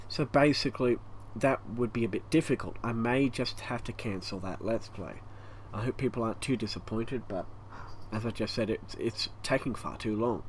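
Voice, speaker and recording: A man with an Australian accent and a croaky, hoarse voice, rambling through a casual channel update at home, stopping often for breath. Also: lowish voice, low-quality recording. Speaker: YouTube gaming channel host. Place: hot home room with a fan running. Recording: faint fan noise behind.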